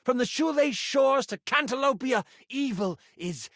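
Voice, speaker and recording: A man voicing a power-hungry female enchanter villain in a game, menacing, gloating and grandiose, with drawn-out theatrical delivery. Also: extremely high pitch, lively intonation, fairly bright tone, no room sound, studio quality, pitched above the performer's own voice, exaggerated voice. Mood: scornful. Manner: monologuing, grandiose.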